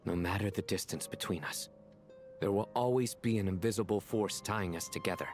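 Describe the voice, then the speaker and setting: Guy voicing solemn poetic leader in a fantasy game, lowish voice, earnest, music behind. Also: fairly quick, natural voice. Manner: ceremonious, earnest. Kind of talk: dramatic game voice acting.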